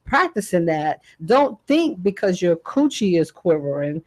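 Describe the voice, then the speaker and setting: A woman's voice: lowish voice, sing-song, unhurried. Earnest woman holding her point. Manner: earnest, persistent, cutting in. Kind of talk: casual studio talk.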